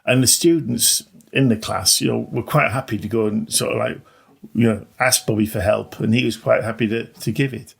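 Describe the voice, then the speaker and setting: A middle-aged man talks in a mid-range voice with noticeable fry. He is a modest guest, conversational and slightly hesitant in how he answers. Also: medium pitch, steady tone, normal speed. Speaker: interview guest, maths teacher. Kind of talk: casual conversation.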